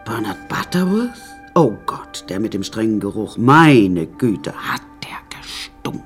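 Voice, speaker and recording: A flamboyant woman telling her own story in a radio play. She is chatty and wry, full of theatrical asides, and her pitch swings in lively fashion. Music plays underneath her. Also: a little slow, exaggerated voice. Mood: gossipy, amused. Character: flamboyant, unsinkable woman recounting her many husbands. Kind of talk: radio play first-person narration.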